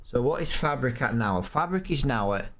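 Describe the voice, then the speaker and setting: A man's voice: medium pitch, animated, moderate pace. A man chatting casually through gameplay, rambling and addressing viewers, sniffly with hay fever. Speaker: gaming YouTuber. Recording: loud game vehicle noise behind. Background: farming game engine and vehicle sounds.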